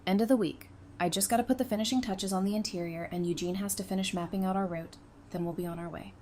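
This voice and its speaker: A woman's voice: medium pitch, fairly even intonation, fast, darkish tone, a little hiss or hum, lightly acted. A woman playing a practical, easygoing survivor in an audio drama, speaking in a matter-of-fact, conversational way.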